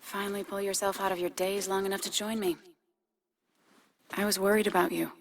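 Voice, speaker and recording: A woman's voice: mid-range voice, flat delivery, fairly quick, a little hiss or hum, natural voice. A woman acting as a determined, anxious, no-nonsense ally with an American accent and an earnest, urgent manner in a video game. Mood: worried, impatient.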